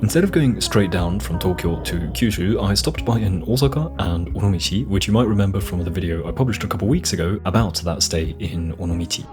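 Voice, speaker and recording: A young man from Austria narrating a travel vlog voice-over in a relaxed, friendly storytelling way with light self-deprecating humor. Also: sits low, fairly quick, hardly any pauses, over music. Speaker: travel vlogger.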